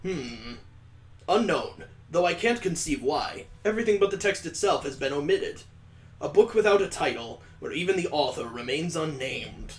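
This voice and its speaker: A playful man reads a visual novel aloud and breaks in with jokes as the let's play host. His voice sits a little high for a man. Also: animated, normal speed. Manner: playful, joking asides.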